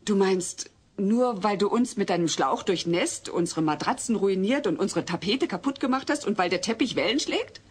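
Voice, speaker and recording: A woman playing a loving middle-aged suburban wife in an audio drama, husky and breathy, affectionate yet firm. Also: medium pitch, lively intonation, normal speed. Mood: forgiving. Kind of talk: German audio drama dub acting.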